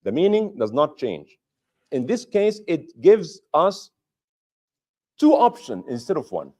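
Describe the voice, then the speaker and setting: A man's voice: fairly high pitch, huge pitch swings, slow, darkish tone. A man giving a mosque lecture as imam, explaining patiently with rhetorical questions and repeating key points for emphasis.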